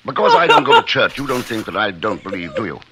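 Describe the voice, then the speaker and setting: A man's voice: fairly low pitch, wildly swooping pitch, quick pace. A man playing a troubled, fearful scientist in a vintage radio drama, speaking hesitantly and dramatically on a noisy old recording. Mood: intense. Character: troubled, fearful surgeon-scientist confessing a secret experiment.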